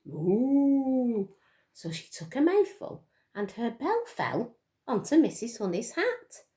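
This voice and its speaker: Animated, unhurried woman reading to children. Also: fairly high pitch. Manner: expressive, dramatic gasps and questions to listeners. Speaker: storytime reader for children. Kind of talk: animated picture-book storytelling to young children.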